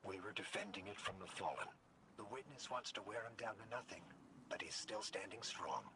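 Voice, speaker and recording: An actor playing a protective, loyal robot companion in a video game, earnest and guarded. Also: male voice, fairly low pitch, expressive, fairly quick. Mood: guarded, worried. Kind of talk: scripted video game dialogue. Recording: clear background noise.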